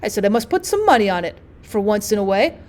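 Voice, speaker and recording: A woman's voice: mid-range voice, sing-song, quick pace, a little mellow, natural voice. A woman voicing a disapproving, upper-class older lady hosting a house party in a storybook reading. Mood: self-satisfied.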